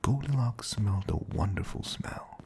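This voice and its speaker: A man as a soothing butler storyteller reads a fairy tale in a very deep, creaky voice that drops into whispering. The delivery is breathy and unhurried, made for sleep. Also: animated.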